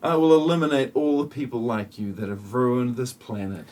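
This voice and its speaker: A man playing a solemn, grandiose messianic figure who judges humanity, speaking in a husky, stilted, portentous lecturing manner. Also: mid-range voice, steady tone, moderate pace, a little mellow, natural voice. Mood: defiant.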